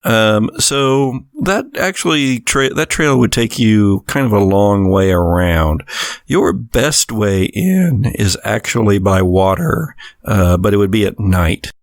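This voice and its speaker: A man with a low voice and noticeable vocal fry works as game master for a tabletop horror game. He sets scenes descriptively and conversationally, recorded close and dry. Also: lively intonation, takes it slowly, no room sound.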